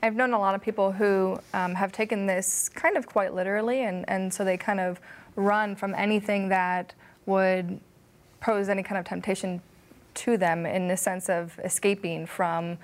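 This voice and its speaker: A woman's voice: medium pitch, fairly even intonation, normal speed. A woman talking thoughtfully and informally as a panelist in a Bible study discussion. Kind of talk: conversational discussion of faith.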